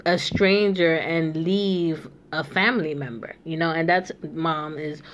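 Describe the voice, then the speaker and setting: A woman's voice: low voice, fairly even intonation, a little slow. A young woman hosting a casual chat, talking loosely with many asides and fillers. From New York. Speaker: host.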